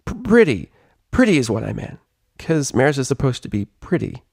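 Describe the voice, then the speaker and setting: A man's voice: mid-range voice, very animated, moderate pace, creaky, darkish tone, no room sound, studio quality, pitched above the performer's own voice. A man voicing a brash, boastful tomboy pony girl with a slangy, swaggering delivery in a story reading. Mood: flustered, embarrassed. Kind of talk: character voice in a fan fiction reading.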